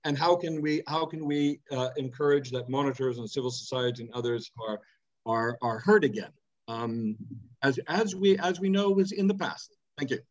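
A middle-aged man speaks deliberately and emphatically, often circling back over his words as he builds a point. His voice sits in a middle register. The sound comes over a video call and is somewhat dull and lo-fi, picked up by an earphone mic in a home office. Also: medium pitch. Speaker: audience member asking a question. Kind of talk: earnest spoken question on a video call.

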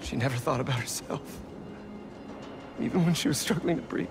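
An actor playing a weary, vulnerable American superhero in a video game, halting and subdued, full of feeling. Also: male voice, a little high, gravelly, over music. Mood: grieving, despairing. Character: grieving, weary adult male superhero, vulnerable mentor. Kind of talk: video game cutscene acting.

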